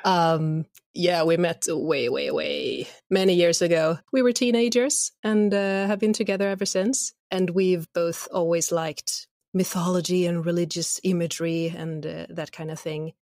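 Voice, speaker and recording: A woman with a slightly low voice talks casually as an interview guest. Her manner is informal, and the sound is close and dry. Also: fairly even intonation, normal speed, hardly any pauses, darkish tone, dry recording. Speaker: guest, audio drama writer and voice actor.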